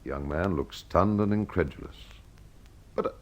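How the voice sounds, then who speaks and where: A man's voice: low-pitched, big pitch swings, moderate pace, dull audio, a little hiss or hum. A man hosting and narrating a scripted detective mystery. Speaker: host and storyteller.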